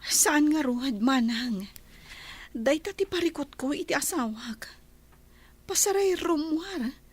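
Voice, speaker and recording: An anxious, suspicious wife in a Filipino radio drama. Her voice is distinctly high, and she speaks with earnest, heartfelt emotion. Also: expressive, slow. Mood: worried. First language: Ilocano. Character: worried, pleading, suspicious wife of a rich man. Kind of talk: Ilocano radio drama acting.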